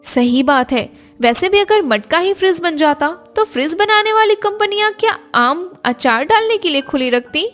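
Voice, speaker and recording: A woman voicing a cheerful, curious young Indian daughter-in-law in an animated family story, with expressive household chatter. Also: very high voice, moderate pace, few pauses, soft background music. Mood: lively, curious.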